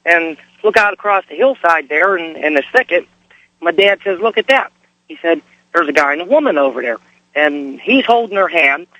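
Male caller from Pennsylvania, casual storyteller, somewhat high voice, dry sound, slightly hoarse with a cold. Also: sharp tone, dry recording, lo-fi sound. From Pennsylvania, United States. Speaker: radio show caller.

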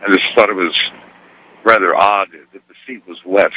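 A man calling a radio show, telling a story in an unhurried, folksy, conversational way. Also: low voice, steady tone, pushed and bright, a little hiss or hum, rough recording. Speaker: radio show caller, trucker.

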